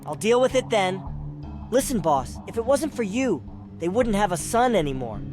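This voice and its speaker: A man acts out a young male delivery worker from a visual novel game, loyal and deferential. His high voice swings about in an animated, eager way. Loud music runs underneath. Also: normal speed. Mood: breezy.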